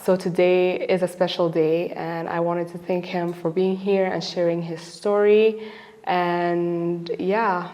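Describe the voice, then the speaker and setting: Lower-voiced woman hosting a podcast. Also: little pitch movement, slow pace, dark tone. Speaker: podcast host interviewing a relative. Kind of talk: casual conversational interview.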